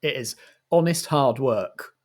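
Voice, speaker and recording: A British man hosting and narrating improvised radio comedy in a measured, mock-formal manner. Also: medium pitch. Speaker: host and game master.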